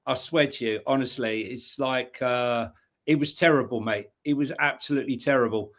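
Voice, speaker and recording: A man's voice: mid-range voice, fairly even intonation, normal speed, fairly bright tone. A man co-hosting a casual football chat show, rambling enthusiastically with lots of fillers. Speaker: co-host.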